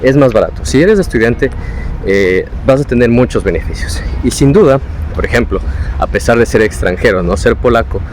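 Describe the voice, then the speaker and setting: A man's voice: mid-range voice, lively intonation, moderate pace, a little mellow, slight background noise. A young man with an Ecuadorian Spanish accent, talking casually and explanatorily as a vlogger giving advice from his own experience. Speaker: vlogger, former international student.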